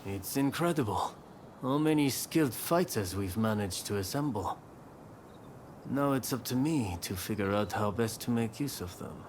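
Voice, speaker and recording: A man's voice: fairly low pitch, animated, normal speed, slight background noise, close to an everyday voice. A man playing a shrewd, assured samurai militia leader in a video game, with an authoritative manner. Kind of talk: video game cutscene acting.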